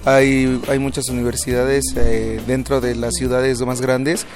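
A man's voice: mid-range voice, flat delivery, a little slow, over music. A young man, a university student, giving a casual, thoughtful opinion. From Mexico.

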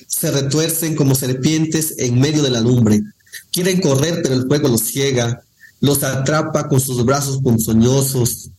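A man, a Nahuatl speaker from Guerrero, reading poems and explaining language in an unhurried way, with many pauses and fillers. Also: medium pitch, darkish tone, a little hiss or hum, low-quality recording. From Guerrero, Mexico. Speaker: guest poet. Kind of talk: poetry reading and casual conversation.

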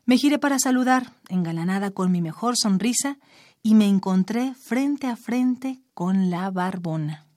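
A woman reading her own short story aloud in a measured, expressive storytelling manner. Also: medium pitch, no room sound, studio quality.

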